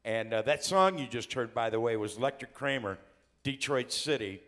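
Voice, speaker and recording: A man's voice: medium pitch, moderate pace, fairly bright tone. A man hosting a live talk show, welcoming guests with upbeat, joking announcer patter. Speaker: host.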